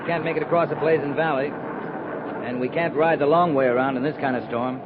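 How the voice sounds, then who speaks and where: An actor playing a dry, calm, commanding cavalry captain in a 1950s radio western, terse and understated. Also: male voice, mid-range voice, brisk, natural voice. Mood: brisk, commanding. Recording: muffled, hissy 1950s radio recording.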